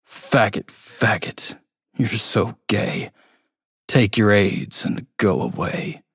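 Guy playing a bitter bullied teen boy telling a horror story, slow n husky, kinda low. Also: no room sound, clean studio sound, full character voice. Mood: bitter chant. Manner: slow, brooding, dramatic. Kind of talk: first-person horror story narration.

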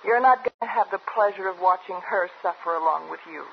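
An actress in a 1950s radio drama playing a bitter assistant who turns on the boss, speaking in an accusing, cutting, emphatic way. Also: female voice, lowish voice, brisk, vocal fry. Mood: cold, scornful. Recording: dull, noisy old radio recording.

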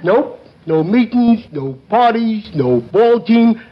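Dim-witted, animated tavern regular. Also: male voice, high voice, slow, full character voice. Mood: cheerfully dim. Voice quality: goofy, simple-minded delivery. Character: dim-witted, slow-thinking, amiable male tavern regular. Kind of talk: 1950s radio comedy acting. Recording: muffled old radio broadcast, faint noise.

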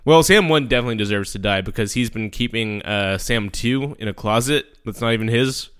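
A man's voice: fairly low pitch, brisk, a bit bright, clear, resonant tone. A man in improv comedy banter, teasing and playfully bossy. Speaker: improv player.

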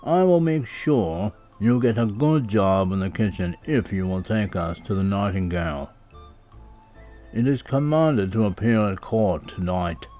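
A man's voice: on the low side, expressive, measured pace, warm tone, soft background music. A man voicing a grand royal butler in a storybook, formal, stately and deferential. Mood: officious. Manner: stately, formal, self-important.

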